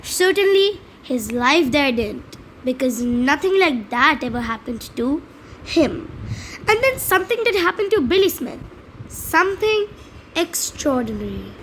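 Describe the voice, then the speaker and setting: Female read-aloud host, high voice, children's storybook narration with slight stumbles, faint noise behind. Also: sing-song, unhurried, a bit bright, rough recording.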